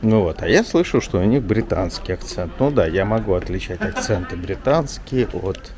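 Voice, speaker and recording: Chatty low-voiced man strolling outdoors. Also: animated, normal speed, a little mellow. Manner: chatty, rambling, often says "вот". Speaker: journalist vlogger. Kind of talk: casual walking vlog chat. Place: outdoors in an old town street.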